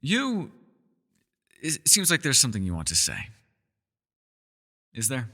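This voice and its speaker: A man's voice: mid-range voice, wildly swooping pitch, measured pace, sharp tone, long reverb tail, full character voice. A man voicing a centuries-old elf scholar in an ASMR roleplay, courteous and meticulous, with formal wording and small hesitant stammers. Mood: courteous, measured. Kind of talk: ASMR roleplay voice acting, one-sided dialogue.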